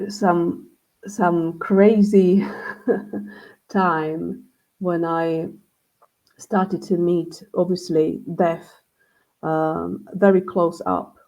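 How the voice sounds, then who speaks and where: Slow-spoken woman, hesitant. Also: lowish voice, darkish tone, low-quality recording. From Slovakia. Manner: hesitant, many ums, nervous laughs. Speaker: guest, end of life doula facilitator. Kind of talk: casual conversation.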